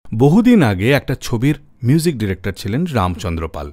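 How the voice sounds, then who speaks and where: A man's voice: on the low side, huge pitch swings, brisk, a little mellow, no room sound. A man presenting a radio music show, narrating stories from a script.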